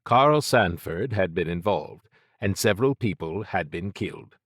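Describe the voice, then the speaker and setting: A man's voice: sits low, expressive, a little slow, no echo, clean studio sound. A man narrating scripted fiction as an audiobook reader.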